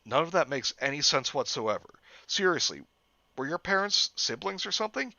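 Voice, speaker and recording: A man's voice: fairly high pitch, very animated, moderate pace, gravelly, no room sound, highs cut off, voice pushed up, full character voice. A man voicing a nervous but sarcastic teenage boy. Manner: nervous then sharp-tongued.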